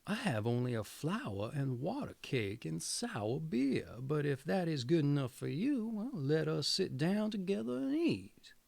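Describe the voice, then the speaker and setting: A man's voice: on the high side, very animated, measured pace, vocal fry, hardly any pauses, a little mellow, no room sound, exaggerated voice. A man voicing a kind-hearted, simple youngest son in a storybook, humble and earnest. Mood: humble, friendly.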